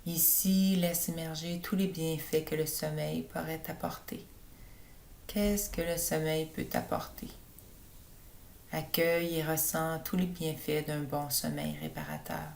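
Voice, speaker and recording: Low-pitched woman guiding a sleep meditation. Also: gravelly, a little hiss or hum. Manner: slow, calm, soothing instruction. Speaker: yoga teacher leading relaxation. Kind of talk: guided meditation narration for sleep.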